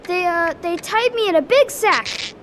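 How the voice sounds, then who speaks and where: An actor voicing an innocent, fibbing little wooden puppet boy in an animated game, childlike, sheepish and hesitant. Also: very high voice, measured pace, noisy background.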